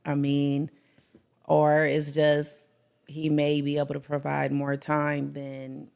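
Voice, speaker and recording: A woman's voice: low voice, monotone, takes it slowly, gravelly, darkish tone, smooth. A woman guest on a casual talk show, talking in a laid-back, blunt way and laughing easily. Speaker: guest co-host. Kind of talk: casual chat.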